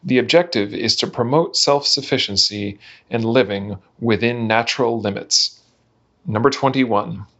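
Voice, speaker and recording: A man reading a written text aloud in a measured, enunciated way for a podcast. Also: medium pitch, fairly bright tone. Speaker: reader of a manifesto. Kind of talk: scripted reading of a numbered manifesto. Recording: dull, lo-fi podcast audio.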